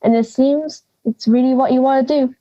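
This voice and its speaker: A woman's voice: high-pitched, fairly even intonation, normal speed, mellow, dull audio, lightly acted. A young woman playing a feisty, blunt granny of ninety in an audio drama, scolding and sarcastic. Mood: urging. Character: feisty, blunt ninety-year-old granny living in the woods.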